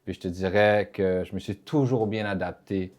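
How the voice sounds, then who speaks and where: A man's voice: low voice, steady tone, normal speed, darkish tone. A man from Quebec with a Québécois French accent, talking earnestly as a podcast host in a casual conversation and slipping in English words. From Quebec, Canada.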